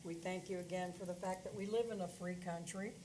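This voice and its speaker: A woman's voice: lowish voice, steady tone, brisk, dark tone, slight background noise, rough recording. A woman board member leading a plainspoken, folksy invocation. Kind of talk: spoken prayer and casual chat. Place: county government meeting room.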